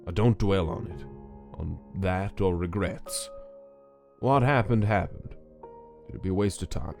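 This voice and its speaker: A man's voice: low voice, measured pace, vocal fry, darkish tone. A man voicing a smug, cynical cartoon casino boss with a bitterness underneath, in a sly, drawling, theatrical manner. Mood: guarded. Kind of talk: scripted comic dub character acting. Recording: music under the voice.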